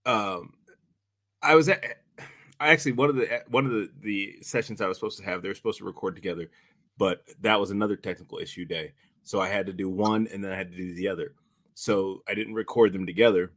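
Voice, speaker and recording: A man chatting casually about directing, speaking in a halting, rambling way and often repeating himself. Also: deep voice, a bit bright, dry recording. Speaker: director and podcast host. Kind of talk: casual behind-the-scenes chat.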